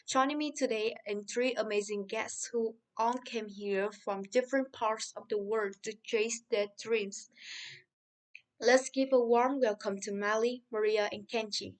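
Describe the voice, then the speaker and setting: A man's voice: sits high, lightly acted, low-quality recording. A man playing a friendly, upbeat podcast host in a scripted interview for English learners.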